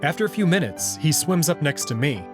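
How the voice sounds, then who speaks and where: A man's voice: on the high side, big pitch swings, fairly quick, over music. A man reading first-person story narration aloud in a scripted visual novel style.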